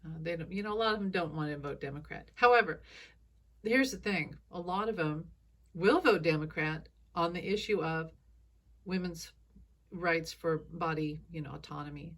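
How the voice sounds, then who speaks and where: A woman speaks alone into a phone, her voice scratchy from allergies and sitting a little low. She rambles from thought to thought in a loose, casual monologue, dropping chuckles along the way. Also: moderate pace, a bit bright. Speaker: psychic commentator.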